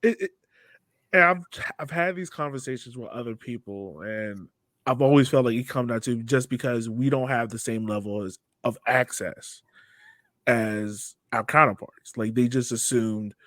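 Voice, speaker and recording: A man's voice: medium pitch, moderate pace, fairly bright tone, no room sound, studio quality. A man hosting a podcast interview in a casual, informal way, rephrasing as he forms his questions.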